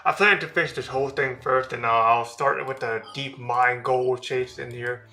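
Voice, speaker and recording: Bright-voiced male game streamer. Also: mid-range voice, fairly even intonation, moderate pace. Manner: rambling, with many fillers and restarts. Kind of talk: casual livestream commentary. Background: game music.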